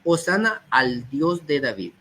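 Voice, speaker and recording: A man with a somewhat high voice, slightly hoarse from a cold, gives a teaching talk. He reads passages aloud and then explains what they mean. A faint noise sits behind him. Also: sing-song, measured pace, sharp tone. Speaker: guest speaker. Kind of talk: teaching talk on early church texts.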